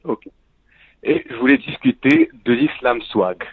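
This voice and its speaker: A man's voice: mid-range voice, very animated, breathy edge. A man from Tunisia, a native Arabic speaker, calling in to a live talk stream and talking earnestly with frequent restarts. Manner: earnest, halting with many restarts. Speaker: caller. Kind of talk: call-in talk. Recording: dull lo-fi phone call audio.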